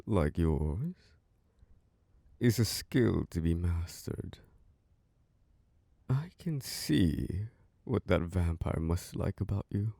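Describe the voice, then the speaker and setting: A man voicing an ancient, charming and possessive male vampire lord who hosts a masquerade, speaking in a slow, seductive, close-mic ASMR roleplay with soft chuckles. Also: no echo, exaggerated voice. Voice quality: low gravelly voice. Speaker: castle host.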